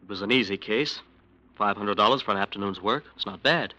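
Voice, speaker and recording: A self-justifying lawyer talks smoothly in a low, very bright voice. He turns defensive when he is pressed. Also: brisk, muffled recording. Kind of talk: 1940s radio drama acting.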